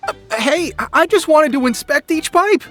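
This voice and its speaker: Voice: sits high, animated, moderate pace. An actor voicing a scatterbrained, fussy and sheepish small forest animal in a video game, stammering defensively. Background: music.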